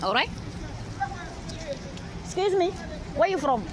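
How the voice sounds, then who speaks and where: A woman's voice: very high-pitched, big pitch swings, slow. A Malian-accented woman telling a rambling personal story outdoors, repeating herself and checking in with "all right?". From Mali. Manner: repetitive, insistent, frequent "all right?" checks. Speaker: street interviewee. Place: busy city street outdoors. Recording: noisy outdoor street recording. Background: traffic and street noise.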